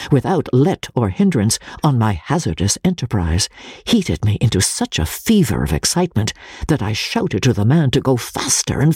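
Female literary narrator; deep, very raspy voice, theatrical delivery with sweeping intonation, dry close sound. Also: dry recording. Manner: theatrical, expressive. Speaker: audiobook narrator, podcast host.

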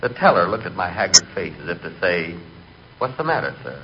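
A man's voice: low-pitched, sing-song, a little fast. A man acting a timid, neurotic and comically vain storyteller in 1940s radio comedy. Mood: deadpan, confiding. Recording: muffled, hissy 1940s radio recording.

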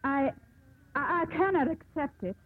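An actress playing a proud, haughty young mistress of a ship in 1950s radio drama style. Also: female voice, high-pitched, measured pace. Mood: formal, reserved. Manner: formal, haughty. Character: imperious, proud young Irish ship's mistress. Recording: muffled 1950s radio broadcast, music behind.

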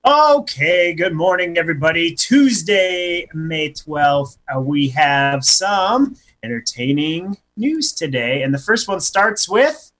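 A man's voice: fairly high pitch, lively intonation, slow pace, few pauses, fairly bright tone. A man presenting entertainment news on a radio show, reading casually with commentary on a studio mic.

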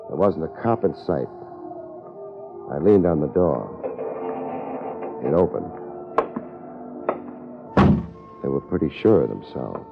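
An actor playing a laid-back, tough American private investigator, wry and deadpan, in 1950s radio drama style. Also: male voice, low voice, gravelly, full character voice. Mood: cool, deadpan. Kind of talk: 1950s radio detective drama acting and narration. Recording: muffled old radio recording with loud music.

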